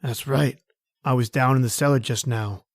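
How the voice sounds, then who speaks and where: A man's voice: medium pitch, fairly even intonation, moderate pace, lightly acted. An actor playing a folksy, sardonic tough guy in an old-time radio thriller, with slangy speech. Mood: grim. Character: John Taylor, folksy sardonic tough guy, thought a killer.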